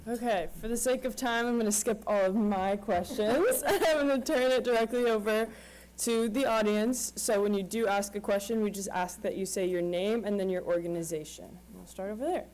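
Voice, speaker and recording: Brisk woman moderating a panel. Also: medium pitch, a little mellow, poor audio.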